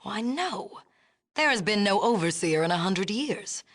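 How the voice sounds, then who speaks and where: A woman's voice: mid-range voice, expressive, a little slow, fairly bright tone. A woman playing a haughty, imperious leader of a city in a video game, speaking formally and precisely with a condescending manner. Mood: formal, wary. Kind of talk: video game character dialogue.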